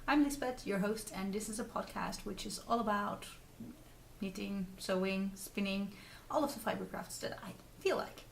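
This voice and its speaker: A woman's voice: mid-range voice, normal speed, gravelly. Dutch-accented woman chatting on her craft podcast, bit of a cold, rambly, mic kinda far off, faint noise. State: recovering from a cold. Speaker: podcast host. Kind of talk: casual chatty craft vlog.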